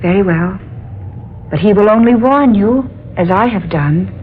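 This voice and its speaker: An actress playing a gentle young princess, daughter of the world's ruler, with pleading, melodramatic 1940s radio delivery. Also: female voice, medium pitch, expressive, measured pace, natural voice. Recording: muffled old radio broadcast.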